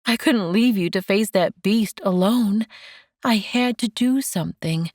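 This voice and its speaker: A woman audiobook narrator plays a caring young woman in a romance story. She speaks slowly in a raised pitch, tender and encouraging with a gentle teasing streak. The recording is dry and close. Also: no room sound, lightly acted. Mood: earnest.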